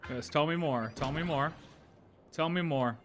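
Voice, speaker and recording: A woman's voice: deep voice, a little slow, faint music behind. A woman streaming a live game reaction, with sarcastic, playful running commentary.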